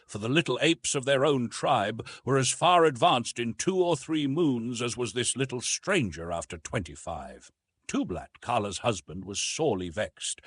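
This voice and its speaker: A man reads literary fiction as an audiobook narrator. His pitch moves widely and expressively through measured, emphatic phrases. The voice has a husky grain and sits in a dry recording with no room sound. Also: a bit bright, dry recording.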